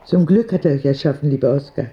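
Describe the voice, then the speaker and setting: A man voicing a kindly old woman in a radio drama, gentle and soothing, with a husky, gravelly voice. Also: a little high, fast, dull audio, lightly acted. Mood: consoling. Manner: gentle, soothing, plain-spoken. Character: kindly old woman, comforting confidante of a sick boy. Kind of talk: intimate radio drama dialogue.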